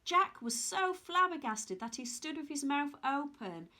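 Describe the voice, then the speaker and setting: A woman reading a children's story aloud in an expressive storytelling style. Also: sits high, a little slow.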